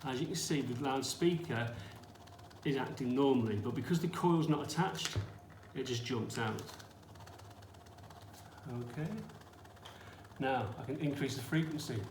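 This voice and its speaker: A man's voice: mid-range voice, unhurried, long pauses. A man teaching a hands-on science demonstration in an informal, explanatory way, often checking that listeners follow with short questions. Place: indoors in an echoey room. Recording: echoey room with faint background noise.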